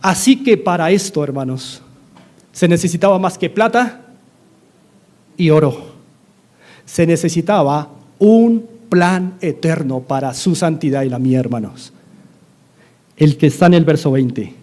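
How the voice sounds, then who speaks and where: A man's voice: fairly high pitch, lively intonation, measured pace, long pauses, darkish tone. A middle-aged man with a Colombian accent, preaching a sermon as a pastor, earnestly repeating his exhortations. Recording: studio-like radio sermon.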